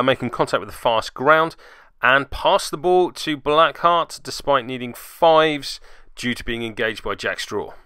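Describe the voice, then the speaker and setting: A man's voice: mid-range voice, pushed and bright, clear, resonant tone, dry recording, studio quality. A man giving wry voice-over play-by-play commentary on a tabletop miniatures game, with self-deprecating asides.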